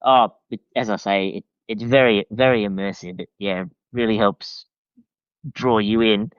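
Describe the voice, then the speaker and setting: Low-voiced man, halting and slightly muffled. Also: fairly even intonation, smooth, no room sound. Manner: hesitant, halting, often restarting phrases. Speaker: podcast guest reviewer. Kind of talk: casual conversational review.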